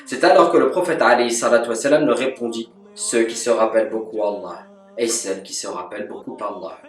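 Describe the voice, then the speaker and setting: Calm, measured man with roomy sound. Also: on the low side. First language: French. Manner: calm, measured, devotional delivery with Arabic formulas. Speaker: religious teacher. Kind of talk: scripted voice-over lesson. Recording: echoey, from a distance.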